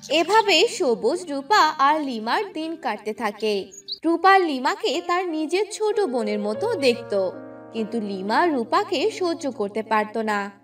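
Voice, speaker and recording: A high-voiced woman narrates a cartoon tale at an unhurried pace. Her scripted storytelling swings widely in pitch, with music underneath. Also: very animated, distant mic.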